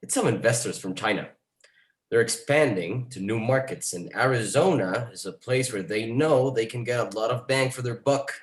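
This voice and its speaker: A man playing an excited, pushy property agent in his thirties in a screenplay table read, speaking eagerly and briskly. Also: medium pitch, close to an everyday voice. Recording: roomy, echoing sound.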